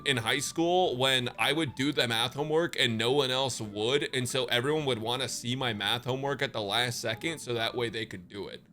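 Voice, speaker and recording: A man's voice: mid-range voice, bright, forceful tone. A man chatting casually as a live game streamer, slangy and joking, with swearing and hyperbole. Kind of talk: casual livestream chat.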